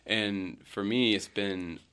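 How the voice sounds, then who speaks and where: A man's voice: lowish voice, fairly even intonation, takes it slowly, pushed and bright, smooth. A filmmaker guest in a casual interview, reflective, often stumbling and restarting his sentences.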